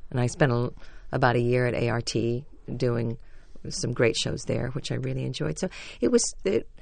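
A stage actress with a low voice, telling chatty, self-deprecating stories as a radio interview guest. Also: female voice, moderate pace, vocal fry, a little mellow.

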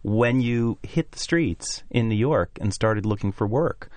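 A man's voice: medium pitch, expressive, unhurried, a little mellow, dry recording. A man hosting a radio interview, asking inquisitive, well-researched questions.

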